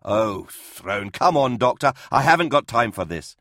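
A man voicing a stern, impatient and somewhat pompous military commissar in an audiobook, gruff and curt. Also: medium pitch, big pitch swings, a little slow, a bit bright, no echo, clean studio sound, voice pushed up. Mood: exasperated. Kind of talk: audiobook character voice in military science fiction.

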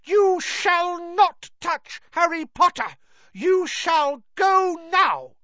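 A man voicing a small, devoted male house-elf servant in an audiobook, shrill and emphatic, speaking of himself in the third person. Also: expressive, extremely slow, sharp tone, no echo, dull audio, exaggerated voice. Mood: fierce defiance. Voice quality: shrill, squealing.

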